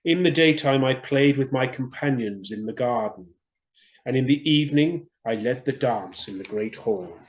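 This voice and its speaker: A man voicing the gentle, sorrowful statue of a young prince in a storybook, speaking in a low, musical, measured voice. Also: expressive, close to an everyday voice. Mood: wistful.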